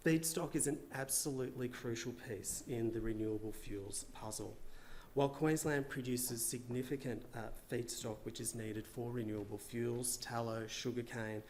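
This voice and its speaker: Aussie government guy at a panel, bit hoarse, lots of uhs, faint hiss behind. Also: mid-range voice, steady tone, a little mellow. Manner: measured, partly read remarks with frequent uhs. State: losing his voice. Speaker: government official. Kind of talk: conference panel presentation.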